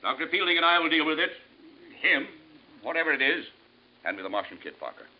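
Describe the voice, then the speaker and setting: A man's voice: fairly high pitch, sing-song, fast talker. A man playing a brusque, blustering commander of a rocket crew in old-time radio style. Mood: commanding. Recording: dull old radio broadcast.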